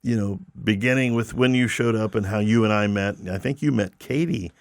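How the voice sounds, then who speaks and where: A man's voice: lowish voice, fairly quick, dry recording, studio quality. A man hosting a podcast, joking and teasing his guest with playful asides. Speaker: podcast host. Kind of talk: casual interview chat.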